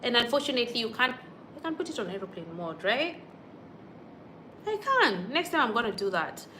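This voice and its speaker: A woman's voice: fairly high pitch, big pitch swings, moderate pace, pushed and bright, slight background noise. A young woman from Kenya, chatting casually on a livestream and answering viewer comments as they come. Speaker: YouTube vlogger on a livestream.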